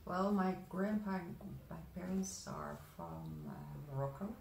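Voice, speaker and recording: Woman from the netherlands, low gravelly voice, pauses a lot, echoey room. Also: sing-song, very noisy. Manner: measured, many hesitations. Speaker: guest, foundation founder. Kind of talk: interview answers. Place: room at a wooden table. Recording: roomy room sound.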